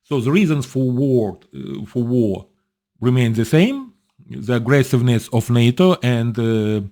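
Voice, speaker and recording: Slow, halting middle-aged man. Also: medium pitch, animated, warm tone. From Ukraine. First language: Russian. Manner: halting, searching for English words, many fillers; blunt, dismissive. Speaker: commentator. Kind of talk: unscripted commentary.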